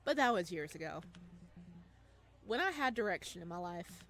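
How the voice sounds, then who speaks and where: A woman's voice: lowish voice, big pitch swings, moderate pace, a bit bright. A woman reading the part of an anxious, worn-out young man who narrates his life in an introspective, hesitant way in a visual novel. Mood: weary, lost.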